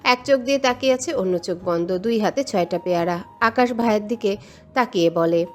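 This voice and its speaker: A woman narrates a scripted romantic tale. Her voice sits at an ordinary female pitch, and she tells it as drama while music plays underneath. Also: a little fast. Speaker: story narrator. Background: background music.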